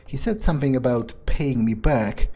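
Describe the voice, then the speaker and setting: A man voicing an arrogant, cruel, brooding vampire in a video game roleplay, speaking haltingly with haughty menace. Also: mid-range voice, lively intonation, dark tone. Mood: musing. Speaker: role-playing game streamer. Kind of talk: in-character game playthrough monologue.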